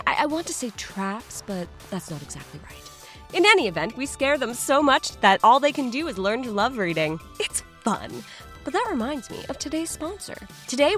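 Playful woman host with lively intonation. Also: medium pitch. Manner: whimsical, tongue-in-cheek. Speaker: podcast host reading a sponsor message. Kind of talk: playful scripted promo.

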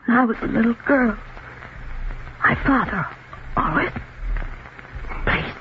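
An actress playing a wounded, resigned wife who is fading away, speaking haltingly in a 1950s radio drama. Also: female voice, fairly high pitch, expressive, slight background noise. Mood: fading, pleading. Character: dying, wounded, resigned married woman. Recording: muffled tinny old radio recording.

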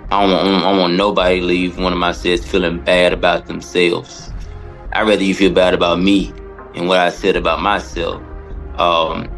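A man speaking as a comedian guest on a podcast, answering casually and reflectively, often halting and repeating words. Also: low voice, level tone, creaky, a bit bright, soft background music.

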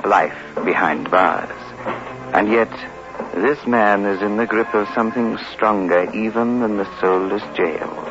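An actor playing a courteous, sinister host of a 1960s radio horror series, narrating in a slow, theatrical, ominous way. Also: male voice, fairly low pitch, close to an everyday voice. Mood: grave suspense. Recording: muffled old radio recording with music.

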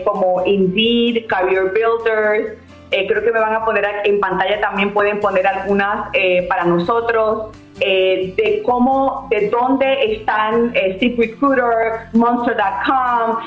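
High-voiced woman giving advice, in a roomy sound. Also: dull audio. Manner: instructive, explanatory. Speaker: guest career adviser. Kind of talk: spoken advice in a live TV interview.

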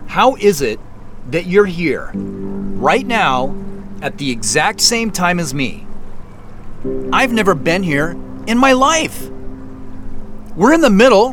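A secretive, easily irritated city man with a fairly high, very animated voice. He speaks in terse, incredulous bursts, audio drama style, over faint music. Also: slow, long pauses, full character voice. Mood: exasperated.

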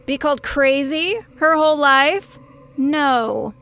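An actress playing a brash, proud, eccentric woman scientist in audio fiction, deliberate, dramatic and self-assured. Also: sits high, a bit bright, exaggerated voice. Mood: brash, smug. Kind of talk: audio fiction monologue and dialogue.

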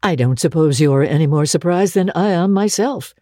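A woman's voice: fairly low pitch, moderate pace, mellow, no room sound, clean studio sound, natural voice. A woman narrator playing a reserved, stern but fond older farm woman in a storybook, matter-of-fact and curt. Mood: dry.

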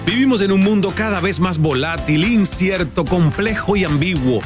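A man's voice: sits high, lively intonation, normal speed, over music. A man narrating a scripted show promo in a dramatic, declamatory manner.

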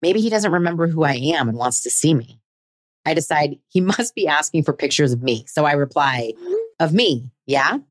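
Candid, low-voiced woman in her forties. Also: lively intonation, brisk, no room sound, clean studio sound. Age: 40s. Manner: candid, self-deprecating, chatty. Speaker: podcast host. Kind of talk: scripted personal narration.

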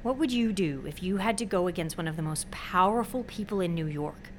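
A woman's voice: lowish voice, expressive, fairly quick, a little hiss or hum, close to an everyday voice. An actress playing a sheltered, anxious young female college student who speaks candidly in a naturalistic audio drama. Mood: uneasy, wry. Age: young adult.

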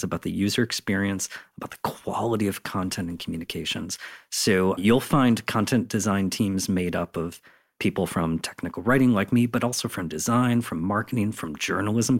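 A man speaking as an interview guest, explaining things in an enthusiastic, conversational and emphatic way. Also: lowish voice. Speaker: interview guest, content designer.

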